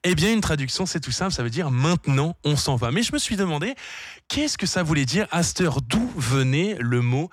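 A man from France hosting a casual radio show from a studio, chatting with listeners and often pausing on "euh". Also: a little high, husky, dry recording. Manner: chatty, lively, many fillers. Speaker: radio host.

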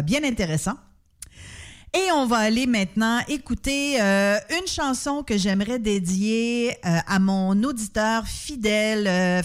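A woman with a Québécois accent hosting a music radio show, chatty and informal, pausing often as she presents records. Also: medium pitch. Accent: Québécois French. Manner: chatty, informal, hesitant with many pauses. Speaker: radio host.

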